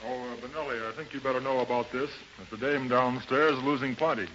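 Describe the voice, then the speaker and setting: A man plays a hard-boiled American henchman from a vintage radio play. His medium-pitched voice is pushy and full of tough slang. The old recording is dull, with faint noise around him. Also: fairly even intonation, fast, fairly bright tone, close to an everyday voice. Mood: businesslike. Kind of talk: 1930s radio drama acting.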